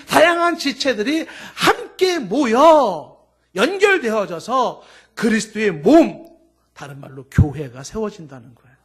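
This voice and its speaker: A middle-aged male pastor preaching a Sunday sermon, running through long emphatic lists and swinging between loud, drawn-out peaks and soft, low endings.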